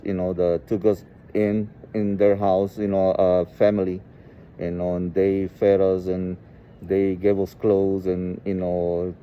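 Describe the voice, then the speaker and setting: A man in his forties from El Salvador with a Salvadoran Spanish accent, telling his personal story informally and haltingly, often saying "you know". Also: low voice. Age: 40s. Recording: muffled, with clear background noise.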